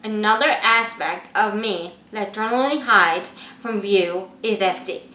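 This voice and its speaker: A woman in her twenties, an artist, narrating a scripted introduction to her personal video in a measured, read-aloud way. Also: mid-range voice, pushed and bright, a little hiss or hum. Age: 20s. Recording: echoey room.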